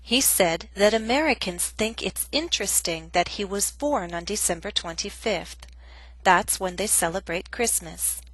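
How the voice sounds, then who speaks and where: Woman, English teacher, scripted lesson, clear measured delivery, mid pitch, dry close recording. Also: fairly bright tone, no room sound, poor audio.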